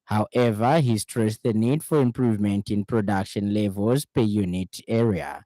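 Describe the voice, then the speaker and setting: Low, mellow Zambian male newsreader. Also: moderate pace, no echo. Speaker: radio news reader. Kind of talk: scripted news bulletin reading.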